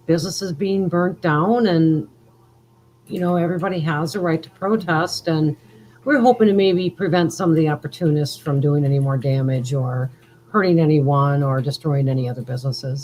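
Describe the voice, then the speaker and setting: A woman's voice: sits low, a little mellow, low-quality recording. A woman appearing as a podcast guest, giving a casual personal account. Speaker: podcast guest, trial witness. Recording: faint ambient noise.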